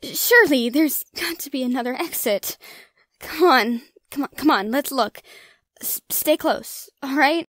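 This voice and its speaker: A woman's voice: sits high, a little slow, fairly bright tone, no echo. A young woman voicing a frightened friend exploring a haunted asylum, nervous and stammering. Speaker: voice actor. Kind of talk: audio drama acting.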